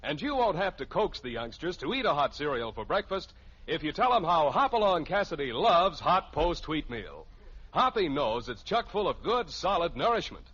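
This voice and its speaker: An American male announcer makes an old-time radio sales pitch. His voice is fairly high, rough-edged and lively, on a dull vintage recording. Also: moderate pace. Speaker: commercial announcer. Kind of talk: 1950s radio advertising pitch.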